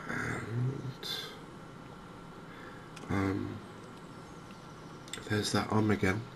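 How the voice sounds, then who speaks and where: A man hosts a cozy gaming video in a soft, low voice. His delivery is gentle and unhurried, with very little rise and fall, as if musing to himself. Clear game ambience runs behind him. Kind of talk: softly spoken cozy ASMR gaming commentary. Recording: clear steady ambient background noise.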